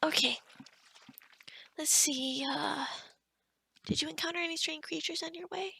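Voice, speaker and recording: A kind but flustered forest witch, played by a woman speaks in a high voice with some creak in it. She is chatty and scatterbrained, stammering and dropping into whispers as she talks to the listener. The ASMR roleplay is recorded dry, without room sound. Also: a little slow, bright, forceful tone, no room sound, full character voice. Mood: gentle, explaining.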